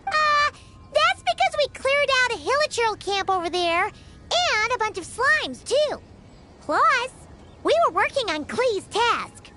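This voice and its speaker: A woman voicing a playful, excitable childlike little character in a video game, with a cutesy, exuberant manner. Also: very high-pitched, slow pace, slight background noise, exaggerated voice. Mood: sheepish. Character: excitable, playful little girl and tiny fairy companion, childlike.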